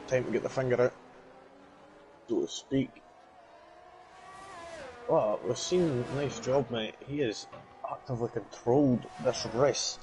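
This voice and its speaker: A man co-commentating a sim race in a casual, chatty way, breaking into excited exclamations when cars go off. His voice sits at an ordinary pitch, and a faint hiss of noise runs under it. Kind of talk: sim racing color commentary.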